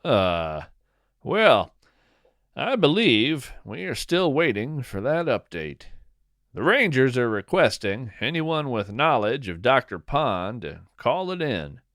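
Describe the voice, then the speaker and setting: Male podcast host, scripted narration: very slow, deliberate, lively intonation, dramatic pauses. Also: medium pitch, dry recording, studio quality.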